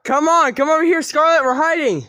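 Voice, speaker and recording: An excitable, theatrical vlogger improvising and play-acting for the camera while filming, often raising the voice to shout. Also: high-pitched, a little fast. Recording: very noisy handheld home video recording.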